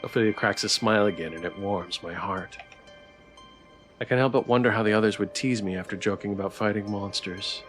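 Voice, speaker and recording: A man's voice: lowish voice, brisk, fairly bright tone. A man streaming a game live, reading its story text and chat aloud with music behind him.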